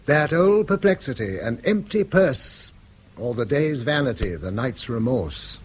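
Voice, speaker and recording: A man's voice: mid-range voice, a little mellow, slightly rough. A man acting a weary, bitter middle-aged schoolmaster and father in a British radio drama, speaking eloquently and self-reproachfully in a British accent. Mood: resigned, wry. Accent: British English. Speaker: actor.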